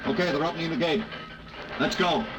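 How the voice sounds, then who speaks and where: A man's voice: a little high, brisk, close to an everyday voice. A man playing a blunt army general who barks curt orders, acted in 1950s radio drama style, on a hissy old broadcast recording. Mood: stern.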